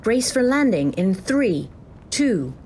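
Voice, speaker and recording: A woman playing a calm, procedural AI assistant that guides a soldier through combat in a sci-fi audio drama. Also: on the high side, slow pace, a little hiss or hum. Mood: brisk, helpful. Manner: clipped, procedural, instructive announcements.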